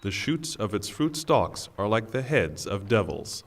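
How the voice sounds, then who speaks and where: A man reading a scripture translation in a solemn, measured and deliberate way. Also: on the low side, sing-song. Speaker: translation reader. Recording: long hall reverb.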